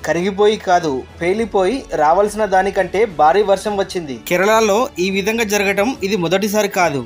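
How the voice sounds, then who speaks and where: A man with a distinctly high voice narrates a facts video. He reads from a script in an explainer style, with soft music playing underneath. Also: fairly quick.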